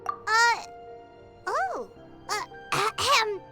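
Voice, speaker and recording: A woman voicing a tiny, cheeky, boastful fairy girl companion in a video game, perky and squeaky. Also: animated, extremely slow, bright, forceful tone, soft background music, heavily acted. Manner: squeaky, self-referring in third person, perky. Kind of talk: scripted video game character acting.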